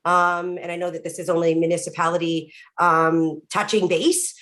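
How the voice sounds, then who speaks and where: A woman committee member introducing herself on a video call, loud and talkative. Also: fairly low pitch, level tone, moderate pace. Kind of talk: casual meeting chat.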